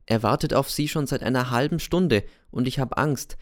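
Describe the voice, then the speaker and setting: A man's voice: medium pitch, normal speed, natural voice. A man reading aloud, voicing a worried landlady in his own plain register. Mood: anxious. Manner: anxious, hushed. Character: worried middle-aged landlady.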